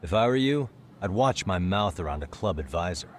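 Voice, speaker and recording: An actor playing a calm, streetwise private detective in a video game dub, with a cool, probing, wry delivery. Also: male voice, sits low, a little fast, slight background noise. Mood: cool, warning.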